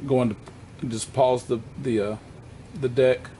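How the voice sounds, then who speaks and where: A man presenting an unscripted hands-on equipment walkthrough in a plainspoken, halting way, correcting himself as he goes. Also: medium pitch, steady tone, warm tone, soft background music.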